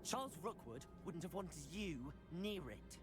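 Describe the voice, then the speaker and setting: An animated, defiant young wizard student. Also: male voice, sits high, measured pace, over loud music. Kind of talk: video game cutscene and combat acting.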